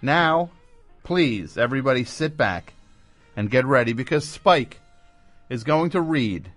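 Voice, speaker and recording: A man's voice: mid-range voice, lively intonation, measured pace, a bit bright, dry recording. Dry, skeptical male radio host over music. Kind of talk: comedic radio banter.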